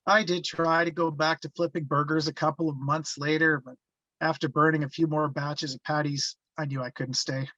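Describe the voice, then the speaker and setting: A man reading a haunted, reflective first-person narrator looking back on his youth, halting in places, on slightly muffled audio. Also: a little high, level tone, sharp tone, no echo. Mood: reflective, regretful. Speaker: actor reading the narrator at a table read.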